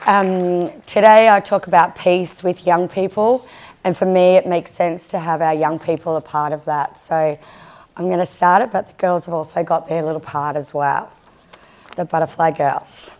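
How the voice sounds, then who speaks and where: Husky, nervous woman. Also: lowish voice, steady tone, normal speed, some chatter behind. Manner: nervous and warm, with many fillers. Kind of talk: welcome and acknowledgement speech. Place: conference session room.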